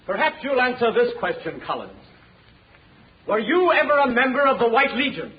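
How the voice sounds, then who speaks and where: A combative male district attorney orates in a very high, animated voice. The style is indignant 1930s radio drama, recorded muffled with faint noise. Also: normal speed. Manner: forceful, indignant courtroom oratory.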